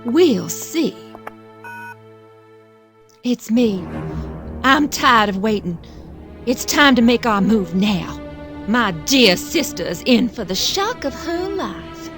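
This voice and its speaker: Slow, haughty young socialite. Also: female voice, on the high side, very animated, music in the background, exaggerated voice. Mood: scheming. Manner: haughty, drawling, spiteful. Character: spoiled, defiant, scheming young socialite sister. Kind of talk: audio drama acting.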